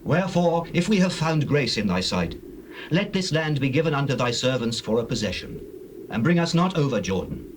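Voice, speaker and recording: A man's voice: mid-range voice, big pitch swings, moderate pace, natural voice. Male actor doing humble bible tribe spokesman, formal, voice swoops up and down, faint cassette hiss. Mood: respectful pleading. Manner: deferential, formal. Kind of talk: dramatized King James Bible reading. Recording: noisy old cassette tape.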